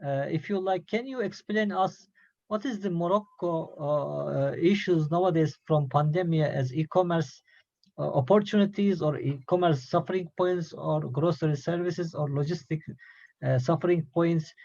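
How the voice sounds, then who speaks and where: A man moderating an online business meeting on a video call, halting, with many fillers and restarts. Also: on the high side, creaky, a little mellow, no room sound. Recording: dull lo-fi call audio, dry.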